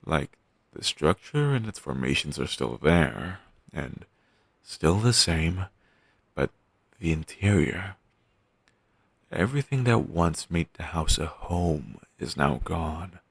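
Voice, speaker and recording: A man acting out a resigned zombie best friend for an intimate audio roleplay. His voice is extremely low and husky, and he talks slowly in halting, deadpan phrases, morose and quick to put himself down. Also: no echo, heavily acted. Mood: haunted, reflective. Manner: deadpan, halting, listless delivery with long pauses. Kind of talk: audio roleplay, intimate scripted monologue addressed to listener.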